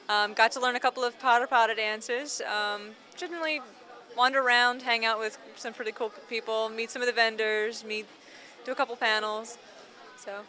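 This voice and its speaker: Young woman at a con, upbeat and excited, bright sharp voice a bit high. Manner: upbeat and enthusiastic. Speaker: convention attendee interviewed. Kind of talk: casual interview answers.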